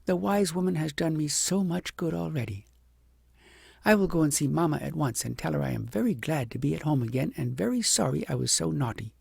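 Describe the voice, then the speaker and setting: A young storybook princess , acted out by a man, proud yet trying to be good. The voice is pitched up and mellow, with a husky edge. It sounds eager and childlike. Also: lively intonation, brisk, no room sound, studio quality, exaggerated voice. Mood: pleased. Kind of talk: audiobook character voice.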